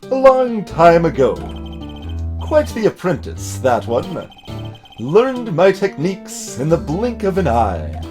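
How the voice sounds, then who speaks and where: A man voicing a pompous, evasive older lawyer from a video game, theatrical and halting, with formal old-fashioned phrasing. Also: a little high, huge pitch swings, darkish tone, faint music behind, exaggerated voice. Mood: wistful.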